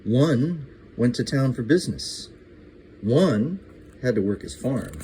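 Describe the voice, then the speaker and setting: An older man reading a rhyming picture book aloud to a young child, in an expressive, deliberate, sing-song way. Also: mid-range voice, slight background noise. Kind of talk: expressive storybook narration.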